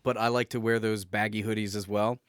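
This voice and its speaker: Man, lowish pitch, clear resonant tone, chatty co-host, nostalgic storytelling, loose swearing. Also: flat delivery, a little fast, no room sound, clean studio sound. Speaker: podcast co-host. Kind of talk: casual nostalgic banter.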